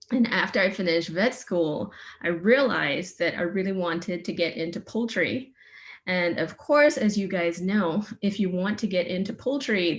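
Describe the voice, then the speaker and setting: Trinidadian woman in her 40s, lowish voice, upbeat science talk. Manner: friendly, enthusiastic, some fillers. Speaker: veterinarian and researcher giving a talk. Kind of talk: informal science presentation. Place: remote online session.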